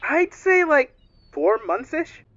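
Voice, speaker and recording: Boyish, high, bright young rookie played by a woman. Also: big pitch swings, slow pace, dull audio, heavily acted. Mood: laid-back. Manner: breezy, slangy, boyish. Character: upbeat, slangy, naive young male crew rookie. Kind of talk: dramatic fan dub of a video game.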